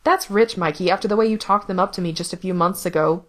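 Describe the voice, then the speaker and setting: A woman voicing an anxious young man who pleads with his brother in a high, strained voice. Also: fast talker, natural voice. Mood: pleading.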